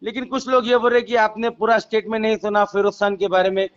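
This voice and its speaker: A man's voice: sits high, flat delivery, quick pace. A man with a Hyderabadi accent working as a reporter, asking probing questions on a recorded phone call. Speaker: reporter conducting an interview.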